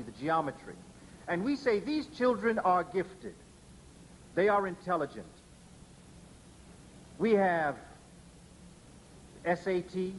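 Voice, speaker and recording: A man delivering a public lecture, emphatic and deliberate, slowing down to spell out letters one by one. His voice sits a little high for a man. A faint hiss of noise runs under the recording. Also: poor audio.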